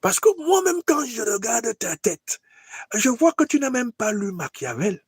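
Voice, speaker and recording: A man's voice: very high voice, sing-song, measured pace, breathy edge, no room sound, studio quality, voice pushed up, exaggerated voice. A man voicing an old, imperious, weary dictator in a satirical skit, exclaiming, scolding and repeating himself. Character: old dictator grand master, weary, imperious, mocking mentor.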